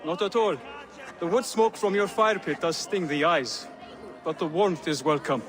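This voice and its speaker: An actor playing a guarded young foreign apprentice, gentle and formal, in video game dialogue. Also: male voice, on the high side, moderate pace, lightly acted. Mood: polite, guarded. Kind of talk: dramatic game cutscene dialogue. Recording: busy crowd behind.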